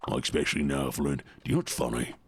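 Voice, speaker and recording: A deep, growling orc, as a man puts him on, gruff and gravelly. The voice sits very low and pushes the growl up into the throat. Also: steady tone, racing, dry recording, exaggerated voice.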